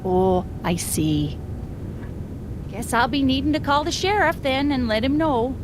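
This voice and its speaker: A lilting, folksy Minnesotan innkeeper. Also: female voice, high-pitched, lively intonation, a little slow, background noise. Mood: nonchalant. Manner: folksy, breezy. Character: chirpy, folksy small-town hotel keeper woman. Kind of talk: animated game voice acting.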